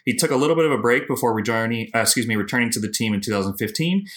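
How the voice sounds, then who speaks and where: A man's voice: mid-range voice, expressive, racing, a bit bright. A man from Canada hosting a podcast, introducing his guest and asking questions in an upbeat way. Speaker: podcast host.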